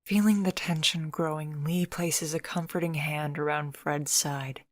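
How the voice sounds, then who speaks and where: Female story narrator, deep register, scripted audiobook-style read, dry studio sound. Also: steady tone, unhurried, no echo.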